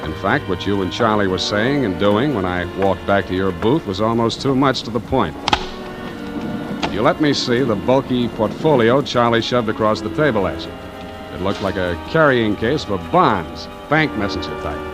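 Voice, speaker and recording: An actor playing a wry, deadpan private detective in a 1940s radio noir, narrating his case and trading clipped wisecracks. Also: on the low side, normal speed, natural voice. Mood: dry narrating. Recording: vintage radio recording with music.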